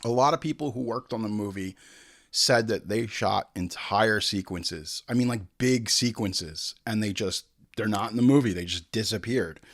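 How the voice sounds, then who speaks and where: A man hosting a film commentary show, irreverent and sweary, drifting into comic tangents and acted-out asides. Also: fairly low pitch. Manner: irreverent, sweary, digressive, mimics people in asides.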